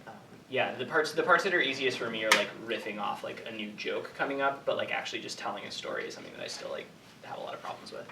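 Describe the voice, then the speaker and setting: A man's voice: on the low side, big pitch swings, fast, vocal fry. Young man, hesitant, in echoey room. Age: 20s. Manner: hesitant, rambling, heavy use of "like". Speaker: writer answering audience questions. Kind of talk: informal Q&A talk. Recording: echoey room, distant mic, noisy.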